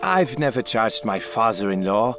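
A man acts out a stern family provider in an audio drama, proud and controlling. His voice is fairly low, with faint music behind it. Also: big pitch swings, normal speed. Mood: troubled.

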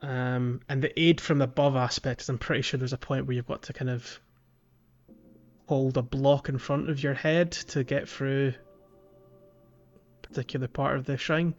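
A man hosting a podcast, talking through a video game in a casual, halting way as he thinks aloud. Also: medium pitch, fairly even intonation, dull audio. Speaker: podcast host. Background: music.

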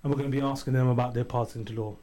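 A man's voice: medium pitch, steady tone, racing, warm tone. Chatty male radio host. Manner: chatty, with frequent ums and restarts. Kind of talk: community radio phone-in hosting. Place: radio studio.

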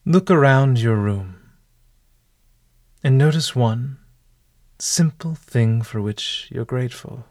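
Slow-paced man guiding a meditation. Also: mid-range voice, darkish tone, no room sound, studio quality. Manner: formal, measured, literary phrasing. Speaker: meditation guide. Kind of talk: guided meditation narration.

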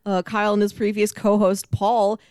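A woman's voice: medium pitch, little pitch movement, moderate pace, darkish tone, clear, resonant tone, no room sound, clean studio sound. A woman hosting a podcast in a casual, friendly chat, talking appreciatively to her guest. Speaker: podcast host.

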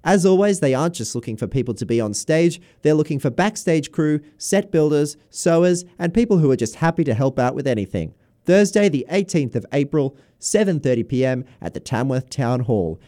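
A man's voice: on the high side, animated, fairly quick, dark tone. A man reading an informal scripted community promotion as a radio announcer.

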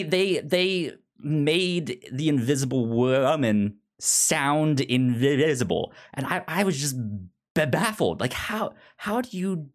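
Halting male podcast host, medium pitch. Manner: halting, stammering on word starts. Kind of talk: casual podcast chat.

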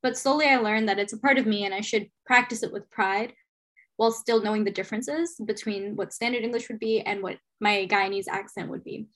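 A woman's voice: medium pitch, steady tone, fairly quick, fairly bright tone. A young woman, an education student, leading the podcast discussion in an encouraging way. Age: young adult.

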